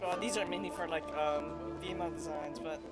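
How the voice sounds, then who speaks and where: A woman's voice: sits low, fairly even intonation, moderate pace. A woman streamer giving casual, off-the-cuff live commentary on a game. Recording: game music behind. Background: game music.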